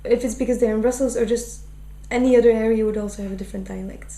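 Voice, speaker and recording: Mellow young Flemish woman. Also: a little high, fairly even intonation, quick pace. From Flanders, Belgium. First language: Dutch. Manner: thoughtful, full of fillers and restarts. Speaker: interviewee. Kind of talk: casual interview. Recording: echoey room with faint hum.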